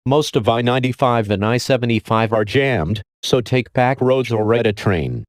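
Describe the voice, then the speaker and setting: A man's voice: medium pitch, moderate pace, no echo, studio quality. A man delivering a scripted emergency alert as an announcer.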